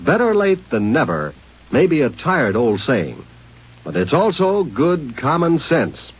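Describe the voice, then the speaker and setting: A man's voice: fairly high pitch, very animated, a little slow, husky. An American man reading a scripted safety announcement in 1950s radio style, earnest and emphatic. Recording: muffled vintage radio recording.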